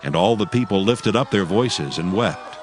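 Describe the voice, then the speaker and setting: A man narrating dramatized scripture as a solemn, measured storyteller. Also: on the low side, expressive, background noise.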